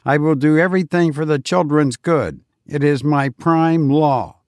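A man voicing a calm, strict robot teacher who speaks flatly and without feeling. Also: a little high, dry recording, voice pushed up, lightly acted. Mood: stern. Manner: flat, unfeeling, measured.

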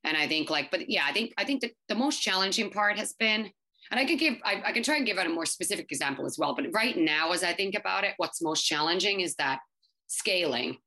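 A woman in her thirties, English not her first language, giving quick, chatty interview answers full of fillers and restarts. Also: on the low side, expressive, sharp tone. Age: 30s. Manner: fast, filler-heavy, many restarts. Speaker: startup founder.

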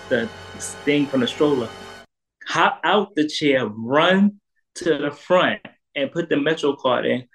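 Animated New man telling stories. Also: on the high side, poor audio. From Harlem, New York. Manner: animated, laughs through stories. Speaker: guest. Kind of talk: casual storytelling.

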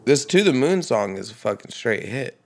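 A man's voice: medium pitch, sing-song, moderate pace, creaky, a little mellow. A young man from upstate New York hosting a podcast, chatting casually and swearing freely with enthusiasm. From Hudson Valley, upstate New York. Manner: profane, enthusiastic. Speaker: podcast host. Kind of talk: casual interview chat.

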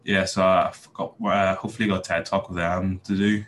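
A man's voice: low voice, flat delivery, fairly quick, fairly bright tone, a little hiss or hum. A young man with a Belfast accent, a podcast guest talking casually with a lot of fillers and restarts. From Northern Ireland. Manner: hesitant, many fillers and restarts. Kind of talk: casual interview talk.

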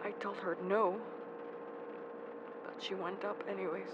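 An actor playing a haunted, guilt-ridden young person who speaks haltingly and stammers, in an audio drama. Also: mid-range voice, flat delivery, lightly acted. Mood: haunted, grieving. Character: Daniel, haunted guilt-ridden young man confessing childhood trauma. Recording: dull, noisy lo-fi recording.

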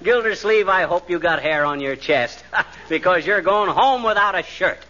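A man's voice: sits high, animated, moderate pace, sharp tone. An actor playing a cocky, blustering middle-aged man with folksy, slangy banter in 1940s radio comedy style. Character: cocky, folksy, blustering wisecracking husband and schemer. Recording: dull 1940s radio recording.

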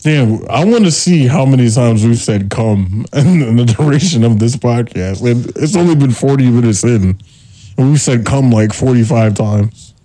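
A man hosting a casual podcast, joking crudely and laughing loudly and often. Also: mid-range voice, normal speed, poor audio. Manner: crude joking, frequent loud laughing, animated. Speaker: podcast host.

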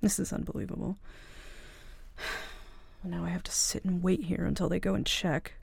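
Woman playing a cocky, creaky-voiced young mafia princess. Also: fairly low pitch, fairly even intonation, moderate pace, darkish tone, no room sound, exaggerated voice. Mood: annoyed, boastful.

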